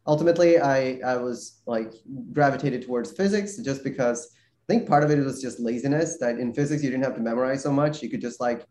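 A man's voice: medium pitch, darkish tone, echoey room. A man from Sweden, a guest on a video call interview, talking casually with many fillers and restarts. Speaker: guest researcher.

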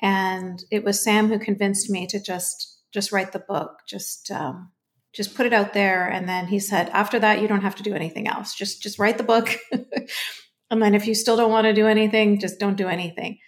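A woman's voice: mid-range voice, steady tone, moderate pace. A woman born and raised in Canada, a guest author in a podcast interview, telling her story in an earnest, grateful way.

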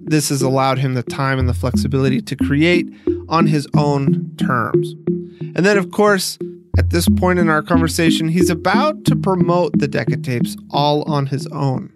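A man hosting a podcast, narrating and discussing in a clear explanatory podcast style. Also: a little high, normal speed, over loud music. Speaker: podcast host, interviewer. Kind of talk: scripted podcast narration with conversational interview.

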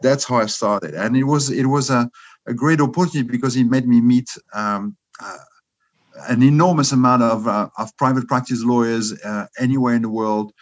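Halting French man in his fifties. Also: mid-range voice, highs cut off. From France. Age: 50s. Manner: halting, many restarts and fillers. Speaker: guest. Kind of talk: casual remote interview. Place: his room at home.

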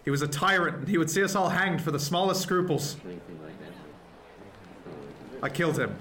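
A man's voice: fairly high pitch, moderate pace, close to an everyday voice. An actor playing a defiant, commanding first mate in a radio drama, delivering earnest oratory to his crew. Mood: grave, resolute. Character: defiant mutinous first mate, a commanding male ship's officer. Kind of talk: serial radio drama acting. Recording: noisy scene with loud crowd behind.

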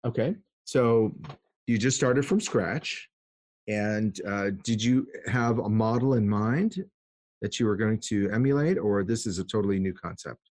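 A man co-hosting an interview, asking questions with hesitant pauses. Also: fairly low pitch, darkish tone, no echo. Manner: hesitant, fills with uh. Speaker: co-host.

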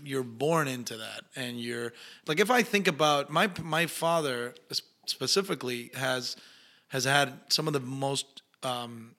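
A young adult man whose first language is Spanish, speaking English with an Argentine accent. His voice is bright and forward at a middle pitch. He tells stories in a loose, animated way and acts out the voices of the people he quotes. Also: unhurried. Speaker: actor guest. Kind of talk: casual interview storytelling.

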